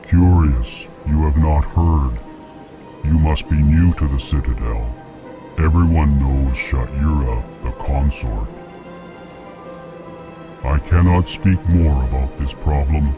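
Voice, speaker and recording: A man's voice: slow pace, long pauses. An actor voicing a stiff, emotionless alien male diplomat who announces his feelings in formal speech. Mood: troubled. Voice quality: very deep, flat, states emotions aloud. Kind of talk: video game voice acting. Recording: game audio over music.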